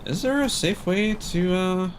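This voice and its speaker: A man's voice: sits high, unhurried, slight background noise. A man giving casual live gameplay commentary, thinking aloud in fragments. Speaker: game streamer. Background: game music.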